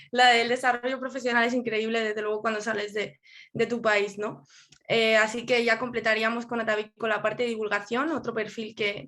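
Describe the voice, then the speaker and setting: A woman moderates a panel over a video call, her voice sitting a little high. She speaks in a measured, friendly way, pausing with small fillers as she hands over turns. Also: sharp tone.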